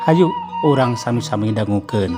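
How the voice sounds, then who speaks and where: A man's voice: animated, normal speed, loud music behind the voice. A man with a Sundanese accent narrating a spooky radio tale in a dramatic, rising storytelling manner. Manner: dramatic, rising pitch on suspense.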